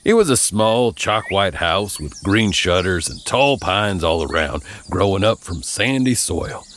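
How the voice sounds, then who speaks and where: Low, animated American male storyteller. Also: unhurried, a little hiss or hum. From Virginia, United States. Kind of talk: first-person narrated story.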